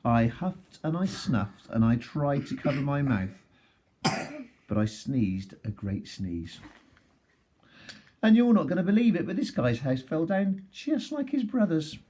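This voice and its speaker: A man voicing a self-justifying, folksy wolf in an expressive children's storybook read-aloud, sounding aggrieved and mock-innocent. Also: a little high, measured pace, slight background noise.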